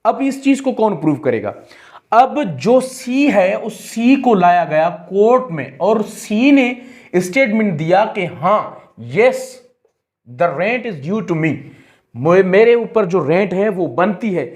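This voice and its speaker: A man giving an explanatory law lecture, mixing two languages and deliberately repeating phrases as he explains. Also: high voice, sing-song, darkish tone. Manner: code-switches between Hindi and English. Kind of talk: explanatory lecture with examples, reading statutes aloud.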